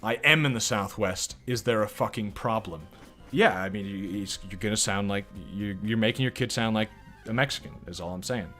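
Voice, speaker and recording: A man's voice: on the low side, normal speed, sharp tone, soft background music. A man giving casual, profane, rambling commentary while playing a video game and reacting to chat. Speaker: let's play streamer.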